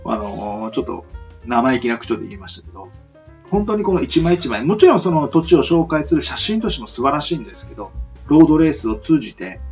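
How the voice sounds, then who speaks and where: Lively-pitched man hosting on dull lo-fi radio audio. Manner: hesitant, searching for words, politely earnest. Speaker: radio host. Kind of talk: rambling reflective monologue for an amateur radio show.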